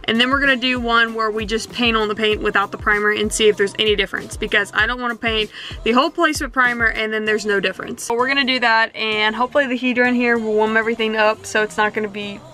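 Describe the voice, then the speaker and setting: Casting: young woman vlogger, bright, slightly high voice, chatty DIY tone, camera mic. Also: fairly even intonation, fast, faint music behind. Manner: chatty, talks straight to camera while working. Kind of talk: casual how-to vlog. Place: inside a camper van and outdoors at a worksite.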